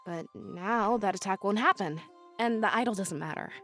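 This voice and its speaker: A woman's voice: mid-range voice, moderate pace, fairly bright tone, soft background music, close to an everyday voice. A woman voicing an earnest, hopeful female companion in a fantasy visual novel game. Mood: relieved, earnest.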